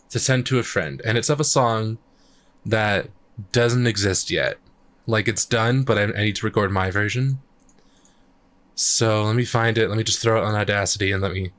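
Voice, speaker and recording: Man, lowish voice, slightly croaky, casual livestream chat, rambling, thinking aloud. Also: a bit bright. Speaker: musician streaming live.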